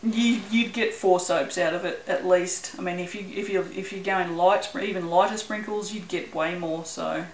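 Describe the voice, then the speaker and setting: A woman's voice: lowish voice, steady tone, normal speed, few pauses, roomy sound, slight background noise, low-quality recording. An Australian woman chatting casually as she works on a craft video, rambling a little and laughing often. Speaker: soap-making channel host. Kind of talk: casual chat while working.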